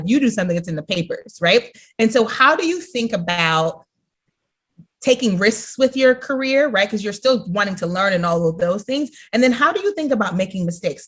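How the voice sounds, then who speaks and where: Woman, podcast host, average pitch, informal, conversational interviewing, frequent check-ins with the guest. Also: a bit bright. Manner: informal, often checks in with "right?".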